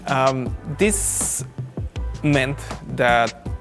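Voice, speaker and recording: A man in his thirties giving an explanatory interview in measured, deliberate phrases. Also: medium pitch, music in the background. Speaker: audio consultant. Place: indoor carpeted function room.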